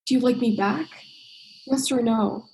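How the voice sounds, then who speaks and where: An earnest, exasperated young woman, played by an actress in a radio play. Her voice is a bit high, and her delivery is direct and emotional. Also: fairly even intonation, unhurried, darkish tone. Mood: hurt, pressing. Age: young adult. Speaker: drama student actor.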